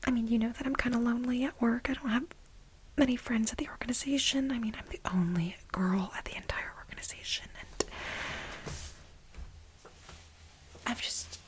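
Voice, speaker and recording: A woman playing a flustered, lovestruck office assistant in a soft-spoken ASMR role play, breathy and halting, with a dramatic, confiding manner. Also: fairly high pitch, a little hiss or hum, lightly acted. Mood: burnt out, confiding. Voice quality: breathy, whispery. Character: flustered, lovestruck female office assistant confessing to married boss.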